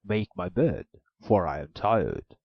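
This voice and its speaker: A huge, gruff ogre booms out his words, slow and suspicious, as a man puts him on for a storybook reading. The voice sits low and a little husky. The recording is dull and dry. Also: lively intonation, no echo, full character voice. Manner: loud, booming, suspicious.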